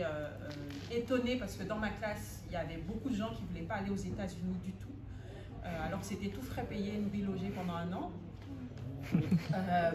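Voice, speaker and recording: A woman in her late thirties from Gabon telling her life story in an interview, often pausing to search for words. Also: mid-range voice. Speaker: interview guest, chef. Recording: echoey room, clear background noise.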